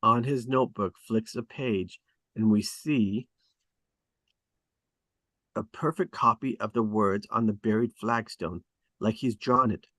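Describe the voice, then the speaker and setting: A man reading stage directions aloud at a script table read, in a deliberate, descriptive way. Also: lowish voice, fairly bright tone, no echo.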